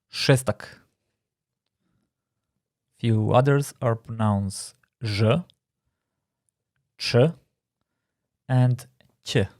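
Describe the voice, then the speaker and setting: Croatian-accented man explaining design calmly. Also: on the low side, no room sound, clean studio sound. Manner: measured, explanatory, slightly non-native phrasing. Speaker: design instructor. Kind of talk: scripted tutorial narration.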